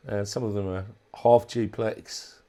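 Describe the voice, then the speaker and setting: A man giving a casual, unscripted walkthrough as a hobbyist presenter, thinking aloud in a halting way. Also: lowish voice, steady tone, dark tone. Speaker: hobbyist presenter demonstrating a modified radio.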